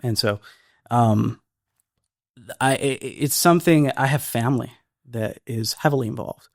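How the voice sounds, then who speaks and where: A man's voice: medium pitch, a little slow, gravelly, no room sound. Earnest man talking, dry close sound. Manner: earnest, repeats and restarts words often. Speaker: filmmaker guest. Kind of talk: casual podcast conversation.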